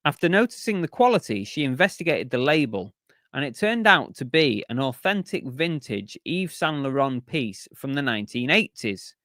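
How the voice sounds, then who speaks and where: A man's voice: mid-range voice, sing-song, moderate pace, a bit bright, no room sound, clean studio sound. Chatty man, voice going. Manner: chatty, rambling, with many fillers and restarts. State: losing his voice. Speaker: live stream host. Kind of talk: casual live stream chat.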